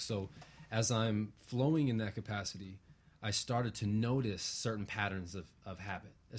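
Low-voiced man talking spiritual ideas. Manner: earnest, abstract New Age phrasing, often seeking agreement. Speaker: interview guest. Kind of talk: reflective spiritual discussion.

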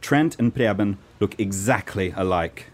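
A man's voice: on the low side, unhurried. A man reading the scripted narration of an amateur radio play.

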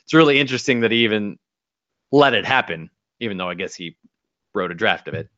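A man's voice: lowish voice, lively intonation, fast, sharp tone, no echo, muffled recording. A man co-hosting a podcast, casually recapping a TV episode scene by scene and acting out bits of dialogue. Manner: summarizes scenes and acts out quoted lines.

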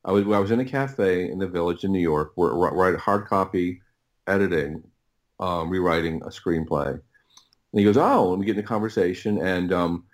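A middle-aged man telling stories casually as an interview guest, often doubling back and breaking off his phrases. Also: deep voice. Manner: halting, repetitive, rambling anecdotes with self-interrupted phrases. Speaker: podcast guest, writer and audio producer.

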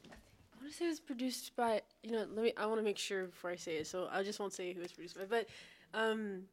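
A woman's voice: medium pitch, fast. A young woman from Texas, a musician guest in a casual interview, rambling and upbeat with lots of "like". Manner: rambling, upbeat, heavy "like" fillers.

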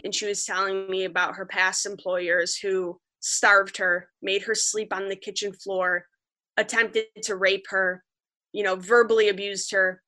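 Young woman guest, a reporter from upstate New York; earnest and vivid, a bright, forceful voice. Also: medium pitch. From Western New York. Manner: earnest, vivid storytelling, quoting others. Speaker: freelance journalist guest. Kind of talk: podcast interview, storytelling.

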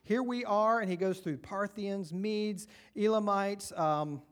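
High-voiced Southern American pastor. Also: male voice, slow pace, fairly bright tone. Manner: conversational, asks the congregation questions. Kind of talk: sermon. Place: church.

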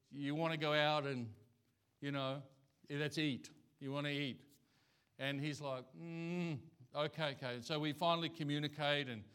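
A man's voice: a little high, pushed and bright, clear, resonant tone. A man from Australia with an Australian accent, a missionary preacher telling a story in a sermon, talking conversationally with lots of fillers and restarts.

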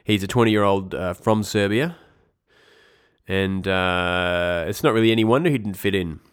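Young male podcast host, very deep voice, nasal from a cold, loose rambling delivery with wry self-corrections. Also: normal speed, clean tone. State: congested with a cold. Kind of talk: casual esports news podcast.

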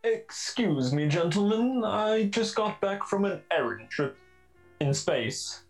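A woman's voice: medium pitch, very animated, slow pace, darkish tone, faint music behind, poor audio. A woman playing a polite, prim male household servant in a comedic radio play, courteous and formal. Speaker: student comedy performer.

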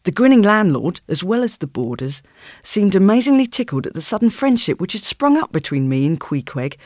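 Audiobook narrator, literary storytelling, measured deliberate phrasing, animated intonation, dry studio-like sound. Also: medium pitch, no room sound. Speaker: audiobook reader.

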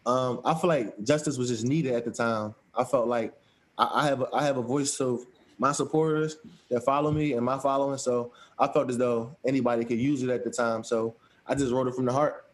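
A man's voice: medium pitch, fairly even intonation, a little fast. A man, a rapper, answering interview questions in a laid-back, hesitant way with many restarts. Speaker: rapper guest.

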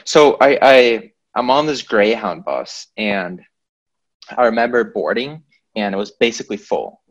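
Young guy, lowish voice, chatting and telling a story, says stuff twice to drive it home. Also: lively intonation, a little slow, dull audio. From Sweden. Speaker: podcast participant telling an anecdote. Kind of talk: casual chat, storytelling.

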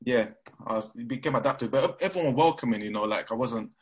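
A man's voice: mid-range voice, fast talker, breathy edge. A young man from Nigeria with a mixed London and Nigerian accent, chatting casually as an interview guest, rambling with lots of fillers and restarts.

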